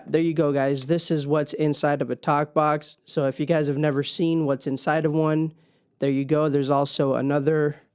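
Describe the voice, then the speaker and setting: Guy doing a chill explainer vlog, kinda higher voice, plain and informal. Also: clean tone. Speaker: video host. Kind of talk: casual hands-on explainer vlog.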